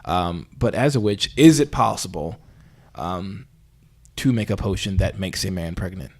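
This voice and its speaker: A man's voice: sits low, moderate pace, no echo. An actor playing an earnest, rambling male podcast host who hesitates and placates his guests in a mock interview. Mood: placating. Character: earnest, apologetic male investigative podcast host, skeptical of spirits.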